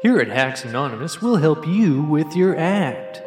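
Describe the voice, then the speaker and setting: A man playing a smarmy pitchman in a parody commercial, with a slightly high voice and sarcastic salesman delivery. Heavy reverb surrounds him and music plays clearly behind. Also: very animated, measured pace. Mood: mock-sincere salesmanship. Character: smarmy mock infomercial pitchman for a hack comics' program.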